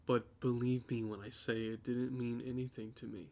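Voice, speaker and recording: A man acting in an audio drama as a guilty young boyfriend, halting and defensive as he pleads. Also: mid-range voice, flat delivery, a little mellow, lightly acted. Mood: pleading.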